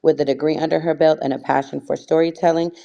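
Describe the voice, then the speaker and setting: A woman's voice: low voice, little pitch movement, fast talker, creaky, mellow, lo-fi sound. Chatty woman podcast host asking questions. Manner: friendly, rambling questions with many fillers. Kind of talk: casual interview hosting.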